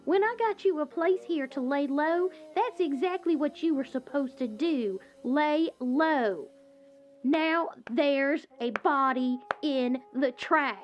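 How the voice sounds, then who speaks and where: A woman's voice: high voice, muffled recording, soft background music. A woman voicing an elderly gangster grandmother in an audio drama, sweet to guests and chiding with family. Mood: scolding. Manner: slow, chiding delivery.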